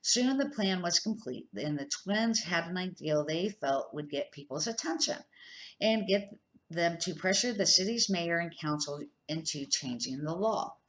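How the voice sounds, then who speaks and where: Older woman reading aloud, slightly low voice. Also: lively intonation, measured pace, fairly bright tone, rough recording. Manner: amateur reader, stumbles and corrects herself. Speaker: grandmother reading aloud to children. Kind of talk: children's storybook read-aloud.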